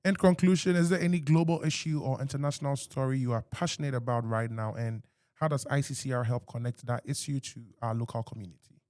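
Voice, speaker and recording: A man's voice: mid-range voice, steady tone, quick pace, darkish tone, no echo, studio quality. Ghanaian man hosting a podcast. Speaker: podcast host. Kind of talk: interview questions.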